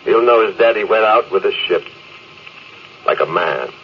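A man's voice: on the low side, moderate pace. An actor playing a proud, ambitious male space officer in a stiff, self-important 1950s radio drama style. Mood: proud, insistent. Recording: muffled noisy vintage radio recording.

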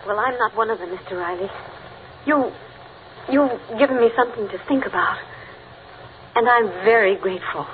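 A woman playing a genteel grieving widow in 1940s radio drama, speaking in a measured, formal way. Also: on the high side, lightly acted. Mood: subdued, grateful. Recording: tinny, band-limited old radio with faint noise.

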